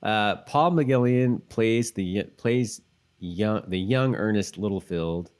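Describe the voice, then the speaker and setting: Deep-voiced man chatting on a podcast. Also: slow, a little mellow, smooth. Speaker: podcast co-host. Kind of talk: casual rewatch podcast chat.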